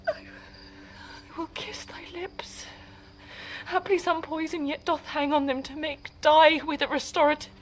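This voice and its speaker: An actress playing a lovestruck young bride in despair, in slow, tender classical radio drama style. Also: female voice, high-pitched, steady tone, a little hiss or hum. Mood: anguished.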